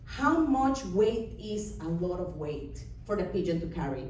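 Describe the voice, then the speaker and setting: A woman from Costa Rica with a Costa Rican Spanish accent, performing a wry, deadpan stand-up set in a comedy club. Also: on the low side, a little slow. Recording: far-off echoey room with background noise. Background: audience laughter and ambience.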